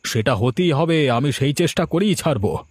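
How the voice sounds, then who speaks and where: A man's voice: fairly high pitch, animated, moderate pace, darkish tone, slightly rough, dry recording, clean studio sound. A man voicing an envious, boastful village schemer in a cartoon, with a combative manner. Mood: confident. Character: envious, cocky male village schemer opening a rival eatery. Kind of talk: cartoon voice acting.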